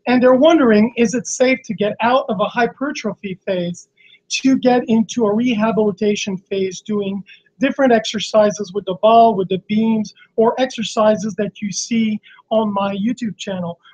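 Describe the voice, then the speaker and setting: A hoarse man with laryngitis, a fitness coach teaching on a live stream in a halting, explanatory way with many restarts. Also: sits high, steady tone, darkish tone. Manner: explanatory, frequent fillers and restarts. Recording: slightly dull lo-fi stream audio.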